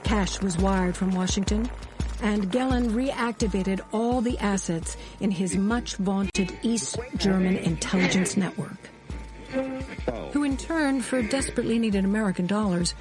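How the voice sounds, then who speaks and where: Woman playing wry cold war insider narrating a radio drama, calm storyteller, loud music behind. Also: medium pitch, a little slow, close to an everyday voice. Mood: composed. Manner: storytelling, knowing, composed.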